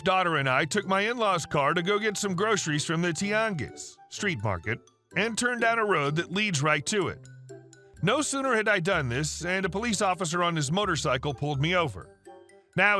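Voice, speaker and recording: Guy narrating reddit stories, high bright voice, soft music in the back. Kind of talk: scripted story narration.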